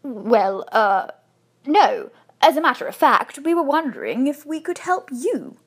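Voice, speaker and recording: Storybook husband, played by a female reader: doubtful, fussy, stop-start, slightly husky and forceful. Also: a little high, very animated, unhurried, exaggerated voice. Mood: embarrassed. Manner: hesitant, doubtful. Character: adult man, hesitant, fussy, doubtful husband. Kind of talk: children's storybook reading.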